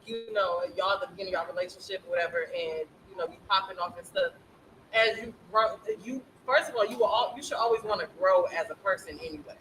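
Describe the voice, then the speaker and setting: A woman's voice: mid-range voice, fast talker, a bit bright, a little hiss or hum, poor audio. A woman in a casual group discussion who talks in an earnest, rambling way, full of fillers like "you know".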